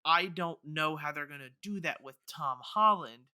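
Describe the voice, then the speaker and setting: Bright, crisp-voiced man chatting on a podcast. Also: fairly high pitch, moderate pace. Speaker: podcast co-host. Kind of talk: casual podcast discussion.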